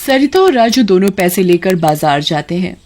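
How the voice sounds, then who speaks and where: A woman's voice: medium pitch, sing-song, normal speed, a little mellow. A woman reading scripted narration for a children's moral story as its storyteller.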